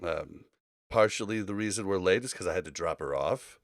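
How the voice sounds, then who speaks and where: A man's voice: sits low, a little fast, no room sound. A man hosting a casual gaming podcast, speaking conversationally into a close mic. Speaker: podcast host.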